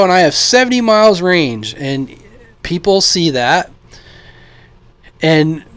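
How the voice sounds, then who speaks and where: A man from the United States talking casually and unscripted as a radio hobby vlogger, halting and thinking aloud. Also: on the high side, no echo. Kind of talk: casual unscripted vlog talk.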